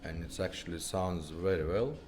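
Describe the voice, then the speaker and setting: A man's voice: low-pitched, moderate pace, over loud music. A man giving a casual product demonstration, explaining things step by step in short, simple phrases like a technician. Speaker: car radio technician presenting a demo.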